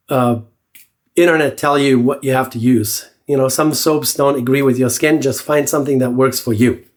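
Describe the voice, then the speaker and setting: Congested man, nasal and chatty. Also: mid-range voice. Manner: relaxed, chatty, with many fillers and restarts. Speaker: shaving channel host. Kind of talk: casual product review vlog.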